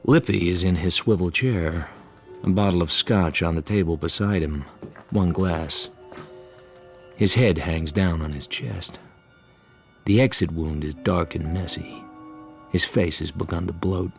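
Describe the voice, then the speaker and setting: An actor playing a wisecracking, world-weary private detective, dry and laconic, in hardboiled radio drama style. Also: male voice, sits low, a little slow, gravelly, long pauses, soft background music. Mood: dry, matter-of-fact. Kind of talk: hardboiled first-person radio drama narration and dialogue.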